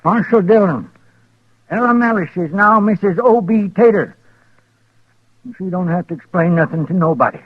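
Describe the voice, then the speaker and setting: An actor playing a lovestruck, stubborn rancher over sixty with a rural American West accent in an old-time radio western. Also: male voice, high-pitched, expressive, moderate pace, long pauses, slightly rough, no echo. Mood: indignant. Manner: folksy, indignant. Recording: dull vintage radio recording.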